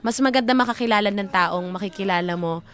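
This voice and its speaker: A woman's voice: mid-range voice, brisk, a bit bright, soft background music. A Filipino woman co-hosting a live show, reading listener comments and giving advice in playful, chatty banter.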